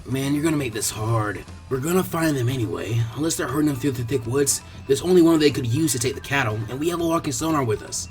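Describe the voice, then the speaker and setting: A man voicing a laconic, weary, clever teenage boy ninja with a flat, drawling delivery, in a story reading. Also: medium pitch, fast, few pauses, soft background music, lightly acted. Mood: resigned.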